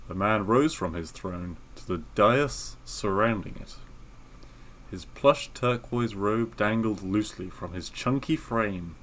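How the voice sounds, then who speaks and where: Lowish-voiced male podcast co-host, slight noise. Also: slow, fairly bright tone. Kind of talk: casual chat and amused story reading.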